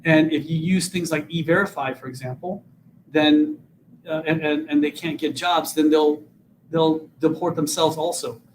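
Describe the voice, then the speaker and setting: A man's voice: a little high, steady tone, moderate pace, a little hiss or hum, low-quality recording. A man in his fifties, originally from Vietnam, speaking earnestly as an interview guest and often repeating words as he starts sentences. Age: 50s. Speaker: guest, political candidate.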